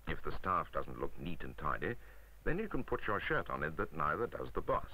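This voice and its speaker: Male, British, very low pitch, bright forceful tone, wry and emphatic, scripted training-film narration, 1960s soundtrack. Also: big pitch swings, a little fast, a little hiss or hum.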